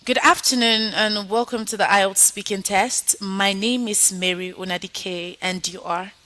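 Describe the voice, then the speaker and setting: A woman's voice: mid-range voice, fairly even intonation, a little slow, pushed and bright, poor audio. A woman teaching an online IELTS speaking lesson, coaching students and then running a mock exam. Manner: coaching, encouraging, repeats "okay?". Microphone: video call.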